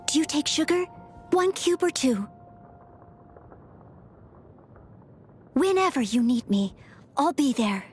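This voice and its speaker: Young game maid, courteous and earnest helper, bright-eyed eagerness, high animated voice, music underneath. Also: female voice, normal speed. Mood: polite, attentive. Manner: polite, earnest, formal, eager to please. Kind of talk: scripted video game character voice lines.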